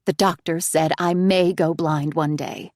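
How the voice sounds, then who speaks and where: A woman voicing a manipulative, self-pitying middle-aged mother who speaks in a crooning, wheedling way, raised in pitch and volume above her narration. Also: steady tone, unhurried, darkish tone, no room sound, studio quality.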